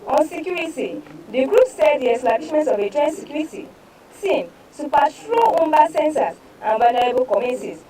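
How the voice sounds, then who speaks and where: A woman news presenter with a Nigerian accent, reading newspaper reports aloud in a formal, halting way. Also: sits high, dark tone, far from the mic, low-quality recording.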